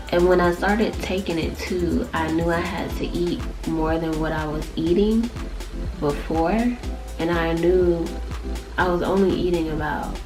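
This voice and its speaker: A young woman with a low, nasal voice, stuffed up from allergies, chatting to camera in a loose, rambling way. Music plays clearly behind her. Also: low-quality recording. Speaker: vlogger. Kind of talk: casual chat to camera.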